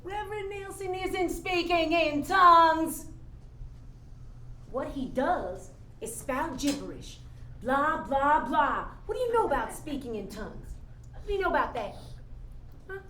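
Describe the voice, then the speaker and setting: A woman's voice: sits high, lively intonation, measured pace, pushed and bright, full character voice. A woman playing a jaded, outspoken daughter with a blunt, scoffing, emphatic manner in a staged play reading. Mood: scornful, indignant. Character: jaded, outspoken woman chasing money owed to her grieving father. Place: indoor room at a staged reading. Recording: echoey room, noticeable background noise.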